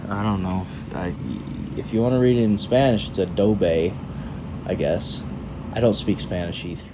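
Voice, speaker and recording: A woman's voice: very deep voice, a little mellow, a little hiss or hum. A woman reading a children's book aloud expressively, breaking off for informal, explanatory asides to young listeners. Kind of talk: expressive storytelling with chatty asides.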